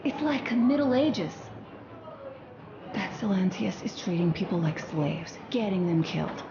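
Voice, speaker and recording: Actress as pensive young wife in a post-apocalyptic game. Slow, tender delivery, roomy sound over audible noise. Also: female voice, medium pitch, dull audio, close to an everyday voice. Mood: puzzled, pensive. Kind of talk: dramatic game dialogue. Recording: echoey room with clear background noise.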